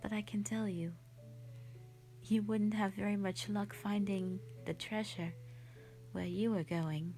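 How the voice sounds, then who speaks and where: Woman playing a slow-spoken, gentle shy dragon lady. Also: mid-range voice, steady tone, soft background music, close to an everyday voice. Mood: caring, curious. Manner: soft, breathy, hesitant, sweet and earnest. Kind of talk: roleplay audio drama, intimate one-sided dialogue.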